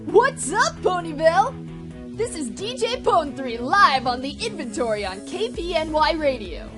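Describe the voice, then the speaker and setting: A woman's voice: high-pitched, big pitch swings, a little slow, over music. A woman playing a cool, brash, casual pony DJ as a guest on a radio play talk show. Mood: upbeat, cool. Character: cool, laid-back, brash female pony DJ.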